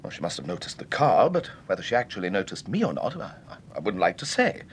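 Animated, earnest British gentleman witness. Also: deep voice, a little hiss or hum. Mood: insistent. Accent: British Received Pronunciation. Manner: earnest, emphatic, halting. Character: shaken, insistent well-bred male witness under questioning. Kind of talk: British radio serial acting.

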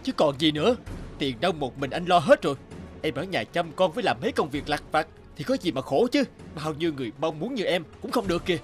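A man's voice: fairly high pitch, big pitch swings, quick pace, faint music behind. A man voicing a disgruntled, condescending young husband and breadwinner in a cartoon. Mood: scornful, annoyed. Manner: grumbling, condescending. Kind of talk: animated moral-tale voice acting.

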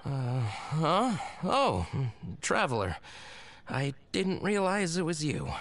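An actor playing a sheepish, tipsy tavern regular in a video game, hesitant and halting. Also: male voice, a little high, wildly swooping pitch, a bit bright, full character voice. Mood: sheepish, muddled.

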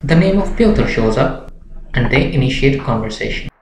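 A young man presenting a software tutorial in a scripted narration style. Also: mid-range voice, animated, moderate pace. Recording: roomy echo with background noise, clicks.

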